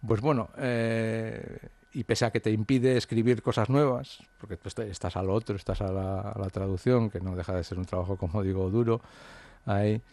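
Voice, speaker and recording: A man's voice: fairly low pitch, steady tone, moderate pace, dark tone, no echo. A man, an author from the Basque Country, talking through his ideas in an interview, hesitant and reflective with many fillers and restarts. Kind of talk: interview conversation.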